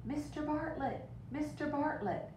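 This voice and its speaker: A woman voicing an urgent, pleading young boy in a storybook. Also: measured pace. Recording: roomy, distant mic with rumbling noise.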